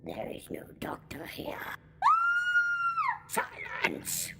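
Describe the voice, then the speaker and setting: A woman playing a menacing alien guardian in an audio drama, speaking in stilted, imperious pronouncements with a hissing rasp. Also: very slow, whispered, raised voice. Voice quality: breathy hissing rasp, gravelly. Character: menacing alien guardian serving a goddess, big bug-eyed monster.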